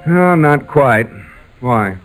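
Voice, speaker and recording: A man's voice: medium pitch, lively intonation, drawn-out words, music in the background. A man playing a teasing, long-suffering husband in a 1940s radio comedy, wry and theatrical. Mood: weary. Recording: noisy 1940s radio recording.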